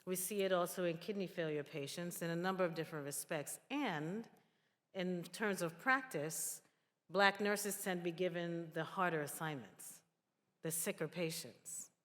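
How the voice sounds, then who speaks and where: A woman speaks earnestly to make her points as a panelist. Her voice sits on the low side, and the hall around her adds a long reverb. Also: normal speed, fairly bright tone.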